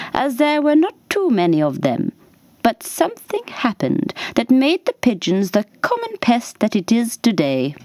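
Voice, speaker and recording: A woman narrating a scripted children's story for radio in a measured, deliberate storybook manner. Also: mid-range voice, a little mellow, no room sound.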